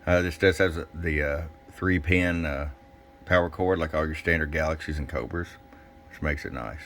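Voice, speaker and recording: A man's voice: rumbling low, fairly even intonation, normal speed, fairly bright tone, a little hiss or hum. A plain-spoken, folksy man giving a casual, off-the-cuff hands-on product demo at a workbench as a shop technician. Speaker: radio shop technician and presenter. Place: indoors at a radio workbench.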